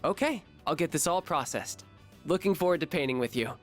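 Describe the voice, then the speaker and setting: Earnest teen club leader, voiced by a man, lively up-and-down delivery, music under. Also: a little high, fairly quick, natural voice. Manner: earnest, hesitant, then fussy and caring. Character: earnest teenage male art club leader, fussy.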